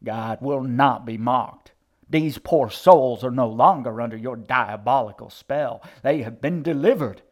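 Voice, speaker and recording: A fierce old woman zealot, as a male narrator plays her, declaiming with righteous fury in a storybook reading. The voice is loud and grating, thick with hatred and holy certainty. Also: mid-range voice, expressive, a little slow. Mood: furious preaching. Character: fanatical hate-filled old woman preacher, secretly a demon. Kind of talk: audiobook character acting.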